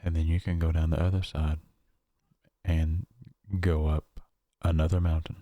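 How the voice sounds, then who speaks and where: Man playing a haunted survivor, very deep, flat voice. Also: dry recording. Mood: weary, reflective. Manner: halting, haunted, confessional, with long pauses. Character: shaken, paranoid male survivor of a nightmare realm. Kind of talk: found audio log horror monologue.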